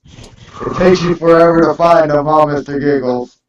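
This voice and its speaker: A man's voice: on the high side, moderate pace, background noise. A man in casual group chat, rambling along in a slangy way. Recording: distant, echoey, dull mic.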